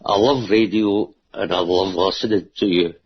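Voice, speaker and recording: A man's voice: low voice, steady tone, normal speed, slight background noise. A man from Scotland with a strong Scottish accent, chatting casually as a caller on a radio show. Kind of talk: casual chat. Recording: muffled internet call on radio.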